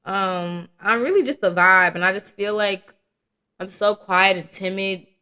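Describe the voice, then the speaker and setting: A woman's voice: mid-range voice, measured pace, sharp tone, clean tone. A young woman around twenty hosting her own podcast, talking candidly and casually with slang, swearing and laughing at her own jokes. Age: young adult. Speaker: podcast host. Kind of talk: casual solo podcast chat.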